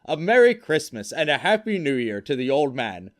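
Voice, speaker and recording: A man's voice: a little high, very animated, normal speed, pitched above the performer's own voice. A man voicing a jovial young man who speaks with a hearty, lilting tone. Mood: merry. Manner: lilting, toasting tone.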